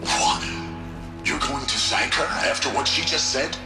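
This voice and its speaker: A man's voice: mid-range voice, big pitch swings, unhurried. A man with an American accent playing an indignant military general in a game, exasperated and protesting. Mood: incredulous. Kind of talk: video game cutscene voice acting. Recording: echoey room, loud music behind.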